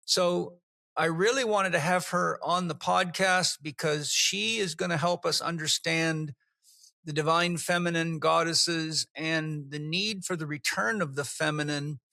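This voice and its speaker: Enthusiastic bright-voiced male podcast host. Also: on the high side, steady tone, a little slow, gravelly, no echo, studio quality. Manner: enthusiastic, effusive. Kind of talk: podcast interview.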